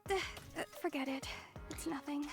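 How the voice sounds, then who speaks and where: Shy anime game young woman, high register, soft-hearted and hesitant. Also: flat delivery, normal speed, soft background music.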